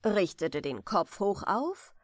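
Gentle, slow-reading woman storyteller. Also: medium pitch, big pitch swings, no room sound, clean studio sound. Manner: gentle, soothing, drifting toward a whisper. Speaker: audiobook reader, storyteller. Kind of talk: soft bedtime fairy-tale narration.

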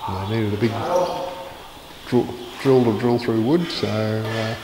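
A man giving a casual, hesitant, unhurried walkaround commentary as a vlogger. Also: fairly low pitch, fairly even intonation. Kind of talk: casual narrated site walkaround. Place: building site, outdoors and inside bare concrete rooms. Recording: loud construction site noise, rasping and knocking.

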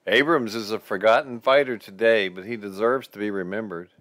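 An older man giving calm, informative voice-over narration. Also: lowish voice, fairly quick, no room sound.

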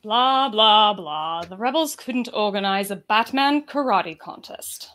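A woman's voice: mid-range voice, lively intonation, unhurried, a bit bright. A woman playing a bored, scornful young woman adventurer, dismissive, in a comedic table read. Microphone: home video call.

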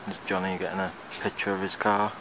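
A man's voice: deep voice, level tone, quick pace. A man giving casual exploring commentary outdoors into a handheld camera as a vlogger. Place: outdoors among derelict brick buildings and lanes. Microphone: handheld camera microphone. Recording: very noisy windy outdoor camera recording.

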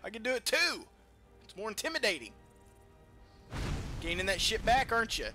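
A man's voice: sits high, normal speed, loud music behind the voice. A man giving casual, profane gameplay commentary as a let's play host. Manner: profane, animated trash-talk.

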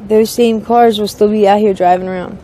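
A woman in her thirties talks casually in an outdoor interview. Her voice is soft-edged and mellow, her delivery hesitant and broken by filler words. Faint street and vehicle noise sits behind her. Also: mid-range voice, fairly even intonation. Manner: hesitant, halting, peppered with "like". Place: outdoors on a city street by a brick wall.

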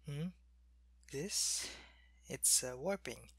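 A man playing a sick, weak male alien soldier in an ASMR roleplay. He speaks softly into a close mic and often drops to a whisper. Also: medium pitch, lively intonation, very slow, creaky, husky, dry recording, full character voice. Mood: weary, gentle. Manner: soft-spoken, drifting into whispers.

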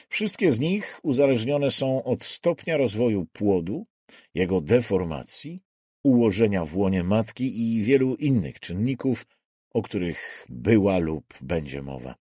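A man narrating an audiobook in a measured, deliberate way with dramatic literary phrasing. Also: lowish voice, big pitch swings, warm tone, slightly rough, no room sound, clean studio sound.

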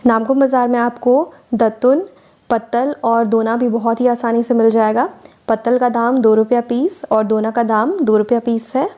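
A woman's voice: on the high side, fairly even intonation, normal speed, dark tone. A woman vlogger narrating a voice-over tour of a weekly market, listing goods and prices for her viewers.

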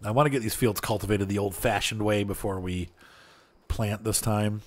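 A man's voice: lowish voice, fairly even intonation, normal speed. A man chatting casually and rambling to viewers while playing a farming game, laughing often. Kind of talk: casual gameplay chat.

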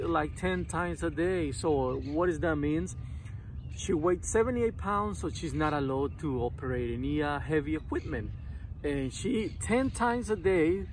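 A man's voice: a little high, a little hiss or hum. A man in his thirties who runs a lawn care business, chatting casually to his phone camera while sitting on the grass in a city park, rambling with lots of fillers. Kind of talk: casual vlog talking to camera. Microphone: phone camera at arm's length.

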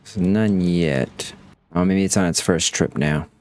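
A man's voice: deep voice, unhurried, darkish tone. A man casually commenting on his factory-building game as he plays, thinking aloud as he checks production. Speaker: gaming channel host.

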